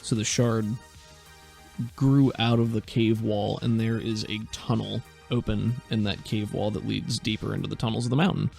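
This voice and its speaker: A man's voice: on the low side, fairly even intonation, normal speed, vocal fry, a little mellow, soft background music. A man narrating a tabletop game as game master in a conversational, descriptive, improvised way.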